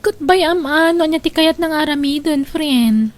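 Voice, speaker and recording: Young ilocano bestie in a radio drama, really high voice, frank and teasing, kinda reluctant. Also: female voice, a little slow. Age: young adult. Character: young city-bred female friend, frank, teasing, reluctant.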